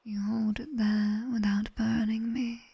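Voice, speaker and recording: A British woman voicing a sharp-tongued nightmare demoness tutor in a soft, breathy ASMR whisper, measured and gently commanding as she guides relaxation. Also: fairly high pitch, level tone, full character voice. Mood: hypnotic, soothing.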